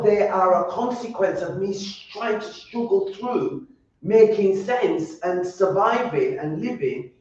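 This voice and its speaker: Yorkshire woman panelist, unhurried, halting, recorded far off in a boomy hall. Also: warm tone. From Yorkshire, England. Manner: earnest, halting, repeats and restarts words. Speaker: roundtable panelist. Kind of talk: roundtable discussion. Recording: echoey, distant, dull sound.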